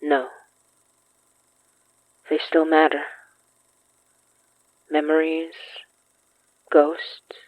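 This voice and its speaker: Actress as a stubborn earnest young woman, horror audio drama, slow delivery, kinda dull muffled sound. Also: deep voice, level tone, no echo, heavily acted. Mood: sombre, quiet. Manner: earnest, insistent, pleading. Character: grieving, stubborn young woman who confronts ghosts.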